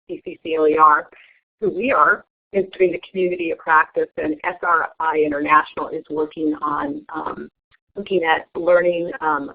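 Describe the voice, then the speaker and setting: Lady running a webinar, kinda low voice, lots of ums, tinny call audio. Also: gravelly. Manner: hesitant, many fillers. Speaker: webinar host. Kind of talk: online meeting presentation. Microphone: web conference audio. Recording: tinny phone-like line.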